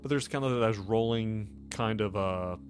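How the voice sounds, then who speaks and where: An American man narrating a tabletop game as game master, improvising in a halting, conversational way. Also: on the low side. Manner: halting, conversational, peppered with "like" and "you know". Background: faint music.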